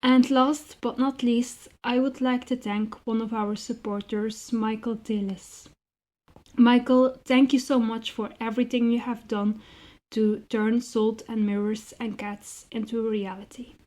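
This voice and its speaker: Higher-voiced woman giving measured interview answers. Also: little pitch movement, darkish tone. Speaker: interviewed writer and zine co-founder.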